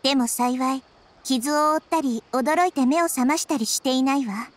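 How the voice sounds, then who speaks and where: A woman voicing a small childlike goddess in an anime game, composed, polite and gentle. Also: high voice, normal speed, natural voice. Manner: polite, composed, softly reassuring. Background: game music.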